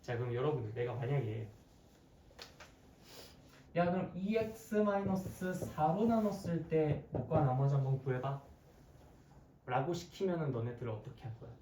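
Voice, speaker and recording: A hoarse math teacher lectures a class with widely swinging intonation and a playful, conversational manner. Questions are tossed out to the students often. The voice comes through a roomy, distant microphone with faint noise behind it. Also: medium pitch, expressive, moderate pace, pauses often, mellow. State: hoarse, frequent throat clearing. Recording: echoey, distant mic, background noise.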